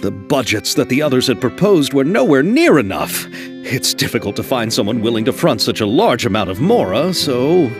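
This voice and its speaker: A man's voice: mid-range voice, sing-song, moderate pace, music in the background, close to an everyday voice. A man playing an earnest, flustered young film director in a video game, polite and a little hesitant. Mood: defensive. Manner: polite, hesitant, nervously apologetic.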